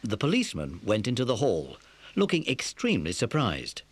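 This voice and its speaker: A man's voice: mid-range voice, wildly swooping pitch, moderate pace, husky, no echo. A man narrating a scripted children's story as the narrator of an audio dramatisation.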